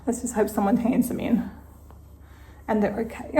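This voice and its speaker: A middle-aged Australian woman with a slightly croaky voice, chatting casually through her day in a home vlog and thinking aloud with soft laughs. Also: medium pitch, fairly even intonation, normal speed, background noise. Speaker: vlogger. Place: home kitchen.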